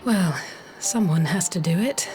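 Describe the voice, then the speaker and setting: An actress playing a determined, sharp-tongued young noblewoman in a fantasy video game, with dry, formal phrasing. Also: lowish voice, animated, measured pace. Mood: wry. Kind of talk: scripted fantasy game cutscene acting. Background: game music.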